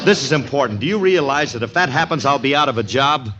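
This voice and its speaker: A man plays a brash, exasperated American guy in a 1940s radio comedy. He is sarcastic and slangy, and his pitch jumps about in lively bursts. The old broadcast has clear background noise. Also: fast talker, close to an everyday voice. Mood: worried, insistent. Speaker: comedy show star. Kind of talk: 1940s radio sitcom acting.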